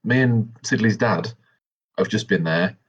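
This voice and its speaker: A man's voice: lowish voice, unhurried, slightly rough. A man improvising in-character dialogue in a tabletop game, speaking insistently and repeating himself. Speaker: tabletop roleplay player. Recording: dull, lo-fi recording.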